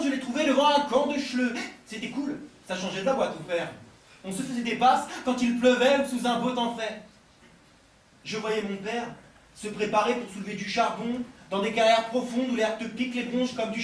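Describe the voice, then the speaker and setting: A man's voice: extremely high pitch, far from the mic. A man from France performing slam poetry, declaiming rhymed first-person verse rhythmically at a live event. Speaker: slam poet at a poetry competition. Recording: roomy live event captured from afar, faint noise.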